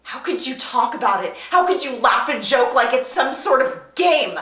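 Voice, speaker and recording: Outraged, high-voiced distressed woman. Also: big pitch swings, normal speed, fairly bright tone. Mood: outraged, upset. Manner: emphatic, accusing, repeating questions. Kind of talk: naturalistic film drama acting. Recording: echoey room, distant mic.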